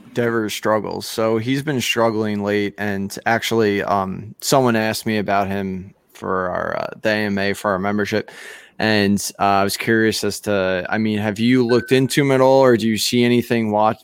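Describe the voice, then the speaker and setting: A man's voice: on the low side, clean tone, no echo. A man co-hosting a podcast, bantering and laughing easily. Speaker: podcast co-host. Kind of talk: casual podcast chat.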